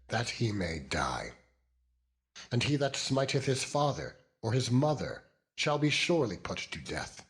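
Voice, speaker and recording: A man narrating scripture in a dramatized style, solemn and deliberate with measured phrasing. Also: sits low, fairly bright tone. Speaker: Bible narrator. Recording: background music under the voice. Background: music.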